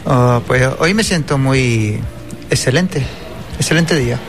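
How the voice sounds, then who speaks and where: A man's voice: medium pitch, a little slow. A man with a Venezuelan accent talking about his own life as an interviewee. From Caracas, Venezuela. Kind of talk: personal testimony in an interview. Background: faint music.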